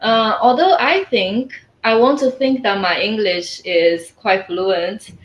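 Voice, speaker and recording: A woman whose first language is Mandarin, a coach answering interview questions in a thoughtful way, often pausing on "uh" and "mm". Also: medium pitch, highs cut off. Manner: thoughtful, frequent "uh" and "mm" fillers. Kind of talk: conversational interview. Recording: echoey room, faint ambient noise.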